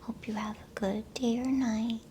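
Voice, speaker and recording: A woman whispering softly in a soothing, unhurried way, as an ASMR host reading bedtime stories and chatting to listeners. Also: fairly high pitch, little pitch movement, a little hiss or hum. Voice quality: breathy whisper.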